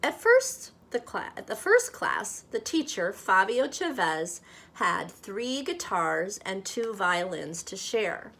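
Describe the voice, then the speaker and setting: A woman reading a children's picture book aloud expressively, with measured, dramatic phrasing meant for young listeners. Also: mid-range voice, big pitch swings, fairly bright tone. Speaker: storybook reader.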